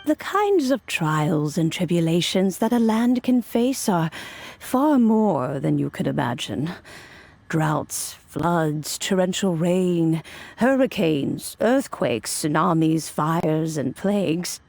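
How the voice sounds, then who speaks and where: A woman's voice: lowish voice, expressive, creaky, a little mellow, no room sound, exaggerated voice. An actress playing a serene, wise immortal adeptus in a video game, telling old legends in a formal, reverent way with music behind her. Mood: solemn. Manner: measured, formal, solemn storytelling delivery. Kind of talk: dramatic video game cutscene narration. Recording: background music under voice.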